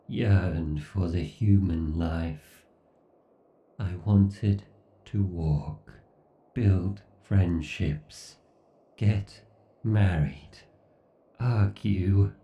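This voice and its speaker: A deep, gravelly AI god acted out by a man speaks extremely slowly in a horror ASMR roleplay. He is menacing, obsessed and condescending, with theatrical brooding. The sound is roomy and set back from the mic. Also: heavily acted. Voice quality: gravelly, dark, low. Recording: dull, roomy, slightly distant sound.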